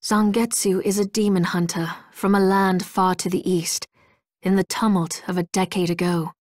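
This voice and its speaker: Courteous, gentle female ally played by a woman, dry sound. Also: medium pitch, flat delivery, moderate pace, no echo, close to an everyday voice. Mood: earnest. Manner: polite, formal. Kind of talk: video game character acting.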